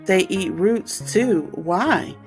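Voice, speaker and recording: A woman reading a children's picture book aloud as a storytime host, explaining things simply and deliberately for young listeners. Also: mid-range voice, lively intonation, music in the background.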